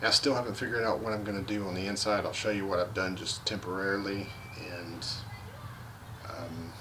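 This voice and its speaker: A man casually walking through a vehicle install outdoors, relaxed and practical, with lots of fillers and restarts. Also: sits low, a little fast, background noise. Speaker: vlogger. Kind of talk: casual how-to walkthrough.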